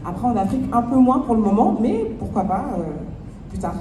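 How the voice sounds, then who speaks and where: A woman's voice: on the high side, lively intonation, brisk, dark tone. Woman speaking on a panel in an echoey room. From France. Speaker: panel speaker, business owner. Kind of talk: panel discussion. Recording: roomy, distant, noisy ambience.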